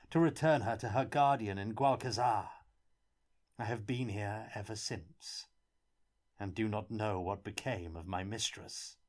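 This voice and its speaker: A man voicing a miserable, guilt-ridden prisoner with a hoarse, tearful, pleading voice. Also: fairly low pitch, darkish tone, natural voice.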